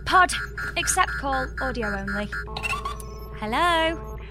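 A high-voiced young British space engineer, earnest and exasperated. It is a scripted comedy audio drama with faint music behind her. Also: huge pitch swings, takes it slowly, full character voice. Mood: distracted, earnest.

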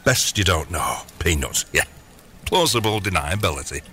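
A bumbling, middle-aged gangster dad in a comic audio drama. His bright voice leaps all over the place as he stammers, dodges and tries to soothe, with a faint noise behind him. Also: low-pitched, sing-song, moderate pace, exaggerated voice. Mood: placating, defensive. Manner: hesitant, flustered, placating. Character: bumbling, evasive long-absent dad turned gangster boss. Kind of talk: audio comedy drama acting.

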